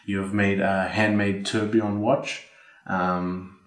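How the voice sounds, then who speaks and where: A male podcast host opens the chat in a friendly, casual way and asks his guest about his background. His voice sits a bit low. The echo of a home room surrounds him. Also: level tone, slow pace. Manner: friendly, brief acknowledgements while listening. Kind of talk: casual interview. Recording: roomy home interview.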